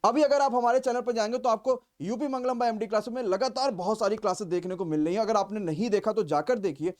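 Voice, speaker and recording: High-pitched man teaching. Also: fast talker, darkish tone. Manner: explanatory, repeats key points for emphasis. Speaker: coaching class teacher. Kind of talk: informal exam vacancy briefing.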